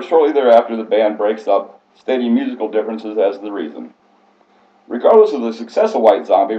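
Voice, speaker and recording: A man reading a prepared biographical speech aloud in a formal, scripted way, stumbling now and then. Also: on the low side. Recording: echoey room, slightly muffled sound.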